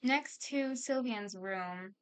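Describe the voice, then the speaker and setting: Bright-voiced woman streamer, unhurried. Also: medium pitch, clear, resonant tone. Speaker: streamer reacting to a game. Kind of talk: casual game reaction commentary.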